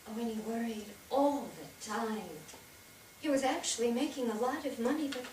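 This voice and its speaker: A woman playing a grieving older widowed mother in a staged reading, with a plaintive, halting delivery full of shame and regret. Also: fairly high pitch, a little mellow, lightly acted. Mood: sad, reflective. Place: theatre stage, picked up from far off. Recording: distant echoey theatre, noticeable noise.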